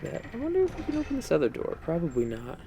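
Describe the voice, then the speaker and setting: A man's voice: on the high side, wildly swooping pitch, fast, warm tone, a little hiss or hum. A man giving casual, rambling, unscripted commentary while playing a video game. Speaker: gaming video host.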